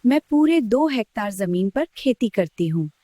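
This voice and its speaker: A woman playing a kindly older village farmer in a children's cartoon, speaking gently and clearly. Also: a little high, very animated, normal speed, dry recording, faint music behind. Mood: kindly, content. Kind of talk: scripted children's educational cartoon dialogue.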